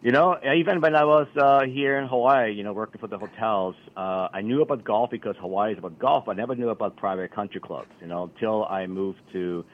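Middle-aged male radio guest, low voice, easygoing and rambling, dull broadcast sound. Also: brisk, hardly any pauses. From Austria. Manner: relaxed, rambling, many fillers. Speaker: country club general manager, guest. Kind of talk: casual radio interview.

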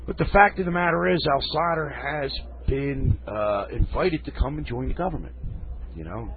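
Hoarse folksy radio host, tinny sound. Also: male voice, medium pitch. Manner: folksy, rambling asides. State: losing his voice. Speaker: radio talk show host. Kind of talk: reading news and commenting. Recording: phone-like lo-fi radio.

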